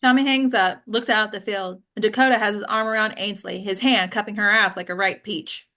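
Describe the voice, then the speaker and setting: A woman's voice: mid-range voice, fairly even intonation, fairly quick, bright, forceful tone. A woman reading scripted stage directions as narrator at a table read.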